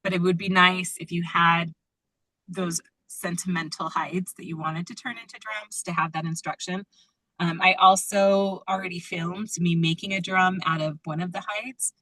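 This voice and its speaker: Female group-call teacher, slightly low pitch, bright forward tone, dry close sound, chatty and informal. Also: no room sound, rough recording. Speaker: drum-making teacher leading a group call. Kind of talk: casual conversational group call talk.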